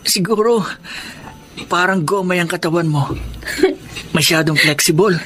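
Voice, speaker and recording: A man's voice: high voice, measured pace, a bit bright, a little hiss or hum. A man acting a polite, good-natured young Filipino son in a radio drama, light and teasing. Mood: warm, teasing. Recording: faint background ambience.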